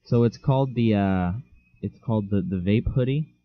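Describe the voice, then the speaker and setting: A teenage boy trades jokes and tells rambling stories on a casual podcast, with a voice that sits a little low. The sound comes through a dull, lo-fi home mic. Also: moderate pace. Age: teens. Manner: joking, teasing, tells stories with big laughs. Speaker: podcast co-host. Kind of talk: casual chat.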